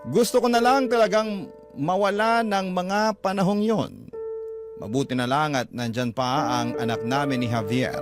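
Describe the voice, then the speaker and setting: A man with a Filipino accent reading a scripted first-person story as a radio drama narrator, in a dramatic style. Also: medium pitch. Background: music.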